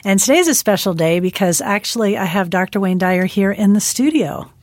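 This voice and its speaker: A woman's voice: mid-range voice, lively intonation, a little fast, a little mellow. A woman hosting a radio show in a studio, chatting casually and in a friendly way.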